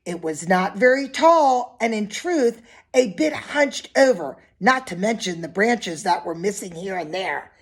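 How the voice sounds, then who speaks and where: A woman's voice: mid-range voice, expressive, unhurried, slightly rough. A woman reading a picture book aloud expressively to young children, with simple asides and questions to her listeners.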